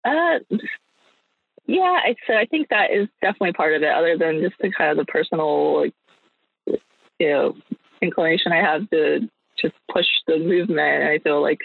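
A woman's voice: low-pitched, animated, moderate pace, creaky, long pauses, slightly rough, no room sound. A young adult woman chatting casually as an interview guest, thinking aloud in a hesitant way. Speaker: guest, small business owner. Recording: thin phone-like line.

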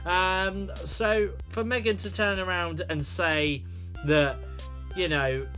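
A man's voice: high-pitched, fairly even intonation, slow pace, fairly bright tone, faint music behind. A British man hosting a solo podcast, rambling with many fillers and restarts. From United Kingdom. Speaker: podcast host.

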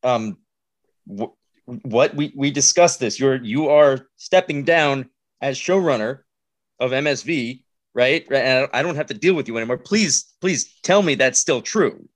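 A man's voice: mid-range voice, measured pace. A man hosting a podcast in scripted comedic banter, sounding exasperated. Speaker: podcast host.

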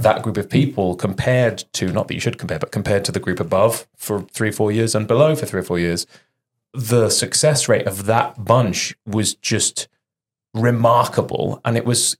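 Deep-voiced man hosting a chat. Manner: reflective, long rambling thoughts. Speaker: podcast host. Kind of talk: casual interview chat.

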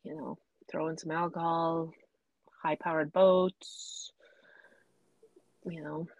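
Podcast co-host, woman, slow and hesitant, low voice, close dry sound. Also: level tone, a little mellow, no room sound, rough recording. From Canada. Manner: hesitant, lots of ums and trailing sentences. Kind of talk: casual chat.

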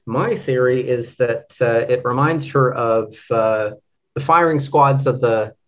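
A man from Canada discussing literature as an interview guest, speaking thoughtfully and haltingly, often searching for words. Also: mid-range voice, muffled recording. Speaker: literary translator, podcast guest.

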